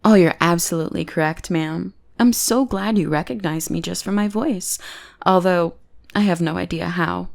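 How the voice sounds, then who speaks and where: A woman's voice: lowish voice, moderate pace. A young woman playing a teasing, possessive goth bully who is secretly smitten, in an ASMR roleplay. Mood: sweetly polite. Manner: playful, taunting, drops into breathy whispers.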